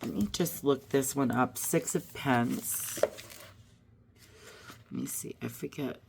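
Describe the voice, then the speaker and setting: A hoarse woman getting over a cold, musing aloud as she pulls and reads tarot and oracle cards. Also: very deep voice, takes it slowly, background noise. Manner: musing aloud, drifting to soft murmurs. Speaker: tarot reader. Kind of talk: casual card-reading vlog.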